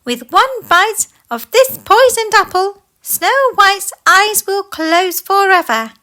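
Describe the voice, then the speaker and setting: A woman voicing a wicked old hag queen in a children's fairy tale, sly and gloating, with a shrill cackle. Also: sing-song, takes it slowly, sharp tone, a little hiss or hum, exaggerated voice.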